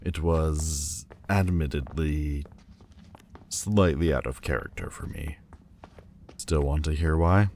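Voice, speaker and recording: A man playing a proud, wounded demon lord in an audio roleplay, guarded but vulnerable, with halting, stiffly formal, wry delivery. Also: extremely low pitch, a little mellow, slight background noise, heavily acted. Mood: reluctant, guarded. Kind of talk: intimate audio roleplay acting. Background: ambient sound effects.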